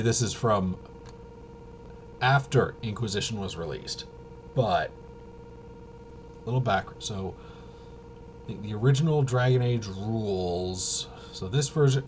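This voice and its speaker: Low-voiced man, rambling and halting. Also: darkish tone, slight background noise. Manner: halting, self-interrupting, trailing off. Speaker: livestream host. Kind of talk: casual rambling livestream chat.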